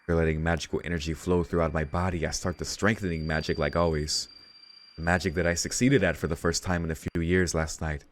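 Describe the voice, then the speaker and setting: A man's voice: low-pitched, little pitch movement, fast talker. A man hosting a let's read, reading visual novel narration aloud and dropping in casual asides. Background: game music.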